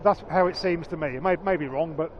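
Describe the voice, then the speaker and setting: A man with a naturally loud voice, chatting casually and haltingly on a vlog, repeating words as he explains. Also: a little high. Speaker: motorcycle vlogger. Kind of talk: casual chat. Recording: dull lo-fi sound with faint traffic.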